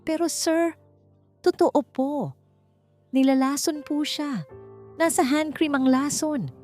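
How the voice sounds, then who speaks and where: A desperate young Filipino cleaning woman, played by a female narrator in an audiobook drama. She pleads earnestly and breathlessly in a raised, high voice over faint music. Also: slow, warm tone. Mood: urgent pleading.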